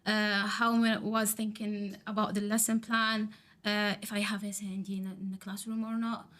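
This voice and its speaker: A woman whose first language is Arabic speaks in English at a middling pitch. She pauses, restarts and gropes for words as she answers questions on a podcast. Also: flat delivery, a bit bright. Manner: halting, with frequent restarts and searching for words. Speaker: student teacher.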